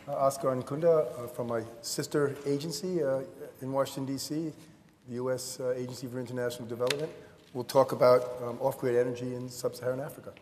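An older man moderating a conference panel and introducing speakers in measured, broken phrases. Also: medium pitch, darkish tone. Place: large auditorium stage. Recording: echoey hall, noticeable background noise.

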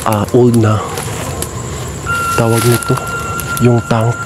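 A young man vlogging as he walks through an abandoned building's grounds at night, talking haltingly with many fillers into a handheld camera. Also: medium pitch, huge pitch swings, heavy background noise. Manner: hesitant, halting, with fillers and repeated phrases. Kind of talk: casual handheld walkthrough at night. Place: outdoors at night on overgrown grounds. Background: loud music bed.

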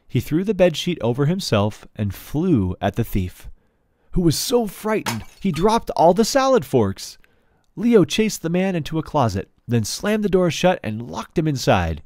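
Very animated man reading a storybook. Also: fairly high pitch, measured pace, no echo, clean studio sound. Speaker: children's book reader. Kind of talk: storybook read-aloud narration.

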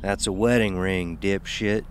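A man as a gruff, irritable drifter. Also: sits low, steady tone, unhurried, a little hiss or hum, voice pushed up. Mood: irritated. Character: gruff, irritable, foul-mouthed male drifter. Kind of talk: audio horror story acting.

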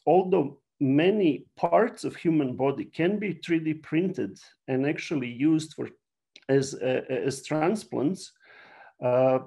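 A middle-aged man from Serbia with a Serbian accent, a native Serbian speaker, giving an explanatory online lecture with frequent halting pauses. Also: medium pitch, gravelly, darkish tone, husky. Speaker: university biology lecturer. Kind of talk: online lecture to a general audience.

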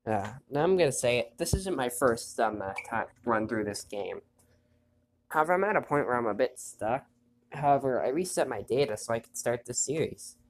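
A man's voice: normal speed, a little hiss or hum. Stuffy-nosed man chatting through a game. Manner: relaxed, chatty, reads story text in a quiet low voice. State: stuffy nose. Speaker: let's play host. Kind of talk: casual gameplay commentary, reading on-screen text aloud.

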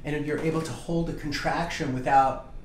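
A man's voice: on the high side, fairly even intonation, moderate pace. A man in his fifties, a chiropractor, casually explaining hands-on treatment to a patient as he works. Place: small treatment office, indoors. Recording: echoey room, background noise.

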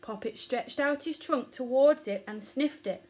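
A woman's voice: high voice, measured pace, a little mellow. A woman in her thirties narrating a children's story expressively. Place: small living room or bedroom, indoors.

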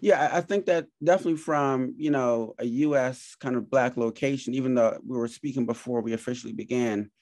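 A man's voice: medium pitch, a little fast, few pauses, a little mellow, dry recording. Man moderating a video-call panel. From Jamaica. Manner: thoughtful, many fillers and hedges. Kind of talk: academic conversation. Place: home office.

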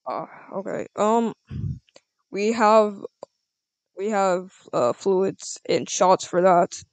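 A casual, halting speaker improvising a part in a video game roleplay. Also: medium pitch, expressive, no room sound. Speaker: player acting as a doctor in a game roleplay.

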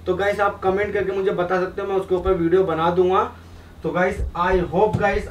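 A man's voice: sits high, a little mellow, highs cut off. Young male tutorial host, instructive and direct; echoey room with some background noise. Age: young adult. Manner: instructive, frequently addressing viewers directly, mixing in English terms. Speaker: hair colour instructor and video host. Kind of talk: informal tutorial explanation at a whiteboard. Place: small indoor room beside a whiteboard. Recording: roomy echo, distant mic, background noise.

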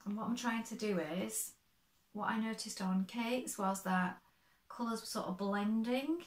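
Chatty, slightly croaky woman sewing vlogger. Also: on the high side, unhurried, reverberant. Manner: chatty, explains step by step. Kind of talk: casual show-and-tell. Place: bright sewing room, indoors.

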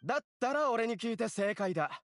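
A man's voice: very high voice, expressive, brisk, fairly bright tone, heavily acted. A man playing a confident, talkative young townsman in an anime game, telling his story in a casual, assertive way. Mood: admiring, confident.

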